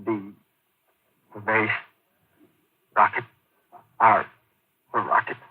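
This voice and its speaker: A dazed colonel in a 1950s radio play. His low voice drags out weak, groggy fragments with long hesitations. The sound is tinny old broadcast audio. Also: flat delivery, breathy edge, full character voice. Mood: delirious. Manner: halting, fragmented murmurs. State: groggy, semi-conscious. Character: dazed, injured male colonel, weakly mumbling. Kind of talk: 1950s radio drama acting.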